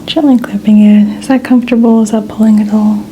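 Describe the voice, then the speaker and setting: A woman speaking in a soft, breathy, often whispered ASMR style, gently explaining a hairstyling routine step by step. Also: medium pitch, steady tone, fairly quick, a little hiss or hum. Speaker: ASMR host playing hairstylist.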